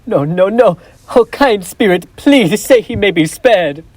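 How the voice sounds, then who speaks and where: A young man acting as a fearful, pleading old miser on a high school stage, with theatrical cries. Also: animated, a little slow, no echo. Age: young adult. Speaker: student actor.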